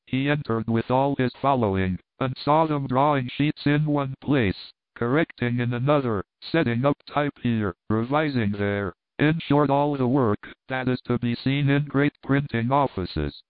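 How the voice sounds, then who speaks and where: A man's voice: mid-range voice, unhurried, hardly any pauses, no room sound. Man narrating a classic novel. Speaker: audiobook narrator. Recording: tinny phone-like sound, dry.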